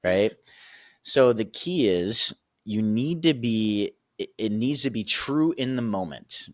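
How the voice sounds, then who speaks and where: Male performance coach, deep voice, dry close recording, lively teaching tone. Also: takes it slowly, no room sound. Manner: energetic, repeats "right?" and "okay?" often. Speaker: sales and performance coach, guest. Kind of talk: casual teaching explanation.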